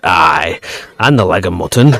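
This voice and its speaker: Sly rustic English captain, unhurried. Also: male voice, low-pitched, animated, bright, forceful tone, no echo, studio quality, full character voice. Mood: gleeful confession. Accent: rural English. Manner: rustic dialect, chuckling relish. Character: sly, gleeful rustic old sea captain, smuggler. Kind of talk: audio drama acting.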